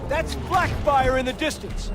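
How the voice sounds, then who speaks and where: An actor playing a commanding American army squad leader in a war game, barking urgent orders in an American accent. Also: male voice, very high voice, measured pace, vocal fry, heavily acted. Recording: battle game audio under loud music. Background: loud music, gunfire, vehicles.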